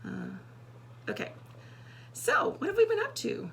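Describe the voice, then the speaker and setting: A woman co-hosting a casual podcast chat, hoarse today, with a husky, scratchy voice, chatty and rambling, with many fillers. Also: extremely high pitch, expressive, measured pace. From Canada. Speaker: podcast co-host.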